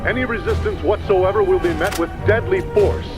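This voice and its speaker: A man's voice: high voice, brisk, music in the background, close to an everyday voice. A man playing a cold terrorist spokesman. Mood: menacing. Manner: commanding, coldly polite threats. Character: menacing male terrorist leader seizing a facility. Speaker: armed group spokesman. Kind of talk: video game cutscene voice acting.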